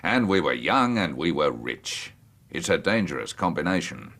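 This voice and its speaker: An actor in a radio drama playing a gruff, ailing, bitter old man with a gravelly, weary, deliberate delivery. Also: deep voice, sing-song, fairly bright tone, exaggerated voice. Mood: weary, reminiscing. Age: older.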